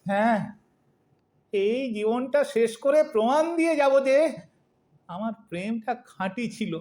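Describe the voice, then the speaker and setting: A woman's voice: measured pace, darkish tone, natural voice. A woman voicing an aggrieved husband in his eighties, thin-voiced, plaintive and theatrical, in a comic audio drama. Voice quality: thin, squeaky. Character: aggrieved, melodramatic 82-year-old lovelorn husband.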